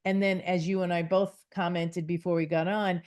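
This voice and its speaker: A woman hosting a conversational interview, talking in a chatty, rambling way full of homely comparisons. Her voice sits a little low for a woman. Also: level tone, moderate pace, darkish tone.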